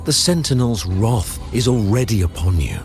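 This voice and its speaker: A man's voice: on the low side, lively intonation, moderate pace, soft background music. An actor playing a wrathful, zealous holy man in a video game, preaching judgment in a solemn, grandiose way. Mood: righteous wrath. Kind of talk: theatrical video game voice acting.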